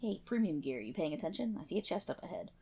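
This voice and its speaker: A woman's voice: lowish voice, animated, fast talker, creaky, warm tone, husky, exaggerated voice. A woman playing a prickly, sarcastic guild healer in an online game, scolding and swearing one-on-one in an ASMR roleplay. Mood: bossy. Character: brash, prickly tsundere MMO guild healer, a woman gamer.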